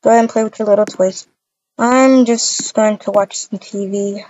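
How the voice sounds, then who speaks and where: A woman with a husky voice improvising a family roleplay in a halting, repetitive way, full of cooing endearments. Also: mid-range voice, fairly even intonation, a little mellow, slight background noise. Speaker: roleplay video creator voicing all characters. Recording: dull, lo-fi microphone sound.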